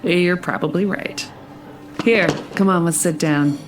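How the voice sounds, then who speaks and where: Woman acting a kind, affectionate lower-voiced woman. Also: unhurried, soft background music, lightly acted. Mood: friendly, upbeat. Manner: affectionate, gently teasing. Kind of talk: naturalistic audio drama acting.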